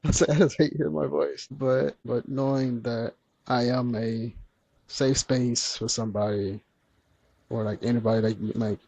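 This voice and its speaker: A man speaking gently and earnestly as a podcast guest, with a soft, soothing voice. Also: medium pitch, fairly even intonation, normal speed, vocal fry, low-quality recording. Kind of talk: candid conversational interview.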